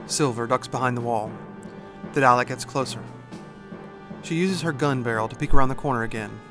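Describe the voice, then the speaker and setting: A man's voice: mid-range voice, fairly quick, over music. A man giving scripted, dramatic narration as the narrator of an audio drama.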